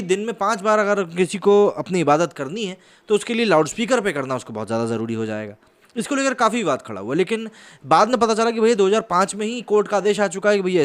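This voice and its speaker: High-pitched man giving news commentary. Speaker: commentator presenting a video. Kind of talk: news analysis commentary, partly read from a script.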